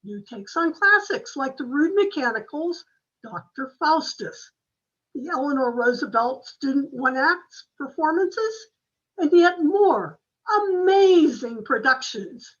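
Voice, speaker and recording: High, animated woman announcer. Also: slow pace. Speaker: theater announcer. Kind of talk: scripted promotional announcement in old-time radio manner. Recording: slightly dull, lo-fi sound.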